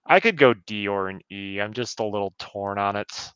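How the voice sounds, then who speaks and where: A man's voice: fairly low pitch, moderate pace, a bit bright, clear, resonant tone, no room sound, muffled recording. A man co-hosting a podcast, chatting casually in a film review. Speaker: podcast co-host.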